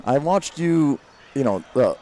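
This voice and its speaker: A man's voice: mid-range voice, lively intonation, mellow. A man in his late thirties giving chatty, rambling mat-side commentary at a grappling tournament. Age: 30s. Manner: chatty, rambling, quick to laugh.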